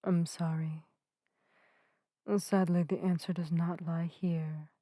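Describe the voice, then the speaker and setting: A gentle witch voiced by a woman speaks softly in a warm, relaxed tone, very close and dry on the microphone. The delivery is earnest and soothing, with quaint, formal phrasing suited to an intimate ASMR roleplay. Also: low voice, no echo. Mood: gentle, sincere. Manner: soft-spoken, soothing, old-fashioned formal phrasing. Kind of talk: narrative ASMR roleplay addressed to the listener. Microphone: close microphone in a dry space.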